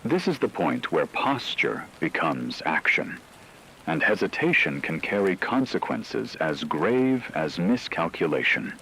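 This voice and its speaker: A man playing a grave radio news anchor, delivering a scripted emergency war broadcast in a measured, solemn, analytical manner. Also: sits low, very animated, vocal fry, pushed and bright, a little hiss or hum, full character voice, low-quality recording. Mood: grave, urgent.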